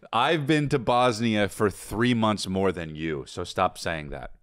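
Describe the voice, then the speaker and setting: A man's voice: lowish voice, slow, fairly bright tone, no room sound, studio quality. A man co-hosting a casual podcast, joking around and swearing freely. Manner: jokey, swears, sudden voice jumps. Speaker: co-host.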